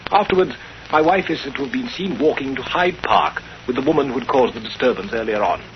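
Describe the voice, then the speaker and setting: An actor playing a stiff, hesitant English aristocrat in a 1930s radio drama, speaking formally and haltingly. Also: male voice, medium pitch, close to an everyday voice. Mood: stiff, troubled. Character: pompous, hesitant English aristocrat, aggrieved bridegroom. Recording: muffled, noisy 1930s radio recording.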